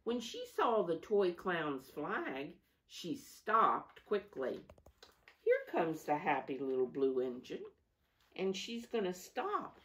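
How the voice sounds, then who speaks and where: A woman reading a storybook aloud to young children, expressive, with asides to her listeners. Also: lowish voice, slow. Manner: expressive, asking listeners questions. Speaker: storybook reader.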